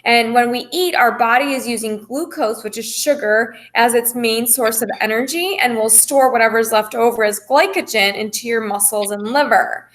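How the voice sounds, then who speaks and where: A woman with a slightly high voice gives an informal coaching talk. She explains things step by step as a fitness and nutrition coach would, taking care to clarify and reassure her listeners. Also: moderate pace, few pauses, a bit bright. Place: phone call presentation.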